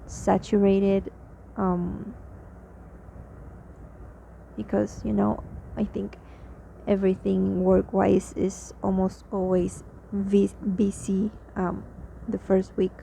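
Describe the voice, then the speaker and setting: A woman's voice: mid-range voice, creaky, mellow. A young woman from Mexico who speaks English with a Mexican accent, talking casually and hesitantly in a spoken diary, tired and drained, with many fillers and restarts. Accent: Mexican Spanish. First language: Spanish. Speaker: vlogger. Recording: slightly dull lo-fi audio with faint noise.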